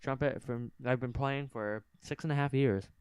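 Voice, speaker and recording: Clear-voiced young man, dry sound. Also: medium pitch, fairly even intonation, normal speed, darkish tone, dry recording. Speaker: podcast co-host. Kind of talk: casual improvised podcast chat. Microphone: game controller mic.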